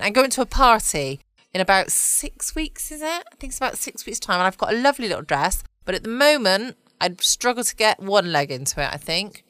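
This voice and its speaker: A woman hosts a casual late-night podcast. Her voice is bright and smooth in a dry recording. She rambles along, self-deprecating, tagging questions onto her remarks. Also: fairly high pitch, no room sound. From United Kingdom. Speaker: podcast host.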